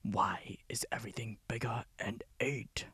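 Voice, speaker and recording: Glum unicorn mare, a man whispering her, slow, husky. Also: mid-range voice, fairly even intonation, no room sound, exaggerated voice. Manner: soft breathy whisper. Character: female unicorn pony, bookish, glum cartoon heroine.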